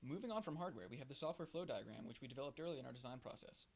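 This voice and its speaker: A man's voice: medium pitch, animated, rapid-fire. A young man, an engineering student, reading out a scripted project presentation. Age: young adult. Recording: clear background noise.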